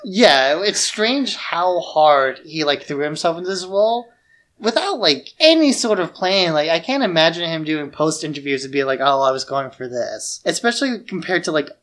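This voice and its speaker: A man's voice: a little high, expressive, moderate pace, a bit bright. A man co-hosting a podcast, giving casual analytical film commentary in loose conversational phrases full of fillers. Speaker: podcast co-host.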